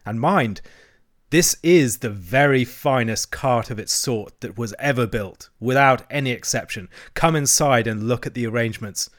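A man voicing a boastful, excitable male toad in a storybook, with a pompous, theatrical manner. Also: mid-range voice, normal speed, natural voice. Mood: excited, boastful. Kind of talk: storybook character acting.

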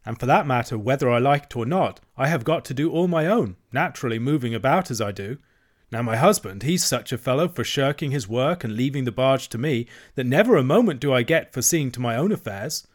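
A man's voice: medium pitch, animated, a little fast, close to an everyday voice. A man voicing a hearty, shrewd middle-aged barge woman who speaks politely with a sly edge.

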